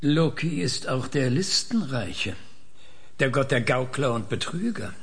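An actor playing a sceptical, needling commission member in a science fiction radio play, pointed and sardonic. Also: male voice, mid-range voice, big pitch swings, measured pace, a bit bright.

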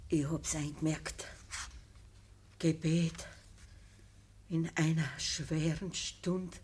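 An anxious, pious Bavarian peasant mother in a radio drama. Her voice is husky and lowish, and she speaks very slowly, ailing and gently pleading. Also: level tone, exaggerated voice. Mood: frail, fearful. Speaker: actor in a radio play. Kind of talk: German radio drama acting.

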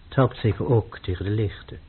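Male actor as troubled, solemn man for radio drama; lowish, deliberate delivery, narrow phone-like sound. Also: a little hiss or hum, close to an everyday voice. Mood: grave. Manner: deliberate, formal, demonstrative. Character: grave, troubled man obsessed with purity, calmly instructing. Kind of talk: radio play acting. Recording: muffled, phone-like old radio recording.

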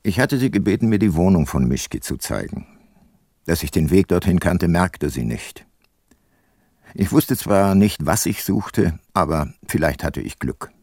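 Older male detective-narrator, low register, dry studio sound, measured, remorseful, radio drama. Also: animated, darkish tone, husky, no echo, full character voice. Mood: reflective, sober. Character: ageing male private detective, ex-prosecutor, sober and remorseful. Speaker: narrator and lead character.

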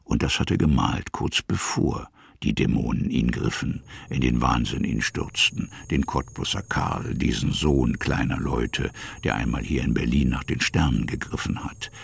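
A man with a gravelly, husky voice narrating a literary radio drama in short, weighty, dramatic phrases. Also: extremely low pitch, moderate pace, few pauses, dry recording, full character voice.

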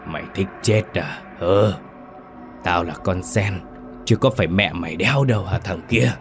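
A man's voice: sits low, moderate pace, soft background music, natural voice. Man voicing a growling, possessed village woman. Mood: menacing. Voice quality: gruff, guttural, growling. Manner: cursing, threatening. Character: possessed older village woman, snarling ghostly, vicious.

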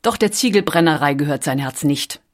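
A woman reading scripted documentary audiobook narration in a measured, articulate storytelling manner. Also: deep voice, lively intonation.

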